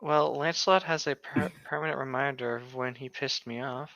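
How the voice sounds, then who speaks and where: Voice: medium pitch, moderate pace, fairly bright tone, no room sound, lo-fi sound. A co-host reading fan fiction aloud and chatting casually and jokingly about it.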